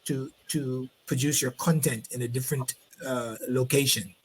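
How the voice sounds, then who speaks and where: A man's voice: medium pitch, fairly even intonation, moderate pace, a little hiss or hum. A man from Barbados with a Barbadian accent, hosting a live online interview over a video call and repeating words as he finds his phrasing. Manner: rambling, repeats words while finding phrasing. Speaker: host.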